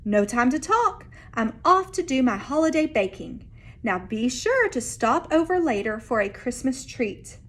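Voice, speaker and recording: A woman's voice: high voice, sing-song, measured pace, a bit bright, full character voice. A woman voicing a cheerful, bustling neighbor lady in a children's storybook, with emphatic sing-song hospitality. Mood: hurried, friendly. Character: cheerful, bustling neighbor lady pushing her holiday baking.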